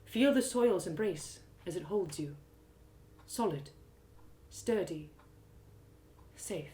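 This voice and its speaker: A woman voicing a solemn, gentle elf mentor in a one-woman audio drama, speaking in a measured, earnest, instructive way. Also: on the low side, animated. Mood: soothing, guiding.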